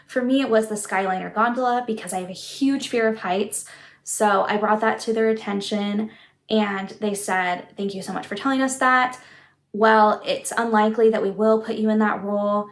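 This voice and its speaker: A young adult woman giving friendly advice in a vlog, speaking in an encouraging, reassuring way. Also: medium pitch. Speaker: vlogger giving application advice. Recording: roomy, echoing room sound.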